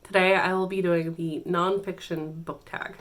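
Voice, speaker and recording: A woman with a somewhat low voice talks through a casual book-tag video on her own channel. She is getting over a cold, so she sounds congested and a little nasal. She speaks in a loose, hesitant way, full of fillers and false starts. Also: flat delivery, moderate pace, faint music behind. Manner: chatty and hesitant, many fillers and restarts. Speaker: BookTube channel host.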